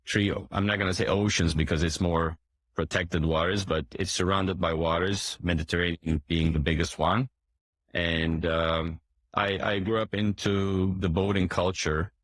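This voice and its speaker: A man in his forties with a low voice, who grew up in Turkey, talks through interview answers in a halting way, full of "um"s, restarts and throat clearing. The recording is close and dry, with no room sound. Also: fairly bright tone, dry recording. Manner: hesitant, many fillers and restarts, clears throat often. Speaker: boat dealership owner, guest.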